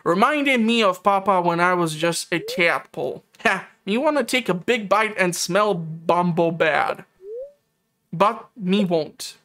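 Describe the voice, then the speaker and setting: A woman's voice: fairly low pitch, expressive, unhurried, a bit bright. Woman reading out a food-loving frog. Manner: reads the frog's lines in a plain voice. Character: gruff old frog gourmand, food-obsessed. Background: game music.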